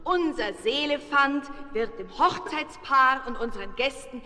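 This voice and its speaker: A woman's voice: sits high, measured pace, sharp tone. A woman playing a bossy, festive animal mistress of ceremonies who announces formally in a children's radio play. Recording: echoey hall.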